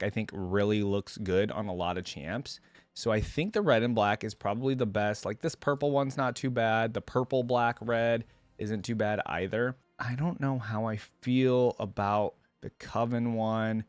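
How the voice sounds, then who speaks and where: A man's voice: lowish voice, no room sound. A man hosting a reaction video, talking informally and musing aloud as he comments and reads along.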